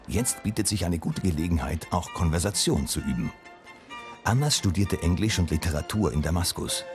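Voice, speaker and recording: A man reading a scripted news voice-over in a calm, even-paced delivery. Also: sits low, moderate pace, soft background music. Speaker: reporter narrating.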